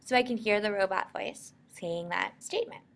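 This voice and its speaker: An American woman narrating a software tutorial in an informal, explanatory way. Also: mid-range voice, moderate pace, vocal fry. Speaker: software instructor.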